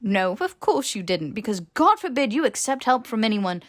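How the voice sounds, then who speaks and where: A woman's voice: fairly high pitch, sing-song, normal speed, voice pushed up. A young man wizard performed by a woman reader, brash and sarcastic, leaning hard on his words. He sounds exasperated and blunt, a hero in a fantasy story reading. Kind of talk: character voice in fan fiction audiobook.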